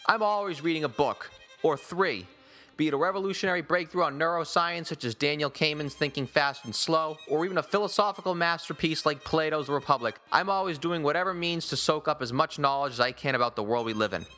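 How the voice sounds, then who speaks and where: A man's voice: a little high, fairly quick, pushed and bright, soft background music. An American man hosting a sports podcast, talking in wordy, rhetorical, ornate phrases as he gives commentary and reads promos.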